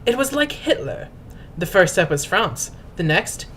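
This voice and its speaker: A woman's voice: medium pitch, very animated, unhurried, a bit bright, slight background noise. A woman host reading aloud as a dramatic narrator, in a pompous, mock-grand and formal manner. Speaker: host and reader. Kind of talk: theatrical dramatic reading of fan fiction.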